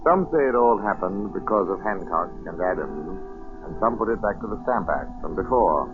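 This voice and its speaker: Deep-voiced folksy male storyteller. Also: expressive, moderate pace, over music. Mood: folksy, intriguing. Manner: folksy, yarn-spinning. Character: folksy male storyteller retelling his great aunt's family tale. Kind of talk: 1940s radio drama narration. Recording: noisy, muffled 1940s radio recording.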